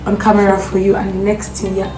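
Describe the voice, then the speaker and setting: A woman's voice: medium pitch, fast talker. Outspoken Nigerian woman, roomy sound. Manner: outspoken, animated, mixes in Nigerian Pidgin. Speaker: vlogger host. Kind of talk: casual chat. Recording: roomy sound with music behind.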